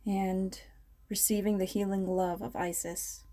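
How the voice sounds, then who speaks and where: A woman leading a guided meditation in an ASMR style, speaking in a ceremonial, measured and soothing manner. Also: medium pitch, little pitch movement, mellow.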